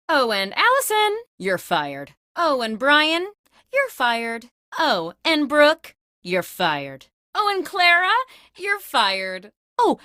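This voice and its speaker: A woman's voice: sits high, pushed and bright, no echo, clean studio sound, full character voice. A woman playing a scatterbrained, self-absorbed office boss in a comedic video game, breezy, theatrical and bossy. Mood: breezy, curt. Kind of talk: scripted comedic video game host lines.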